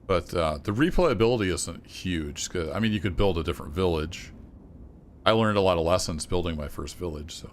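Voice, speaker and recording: A man streaming a city-building game, chatting casually and thinking aloud as he plays, his voice a little rough. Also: deep voice, brisk, slight background noise. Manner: relaxed, thinking aloud with fillers and restarts. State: slightly rough voice. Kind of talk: casual gameplay commentary.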